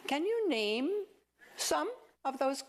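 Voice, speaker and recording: A woman's voice: high-pitched, sing-song, takes it slowly. A woman leading an informal, interactive talk, putting questions to a group and repeating answers back. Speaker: speaker leading audience discussion.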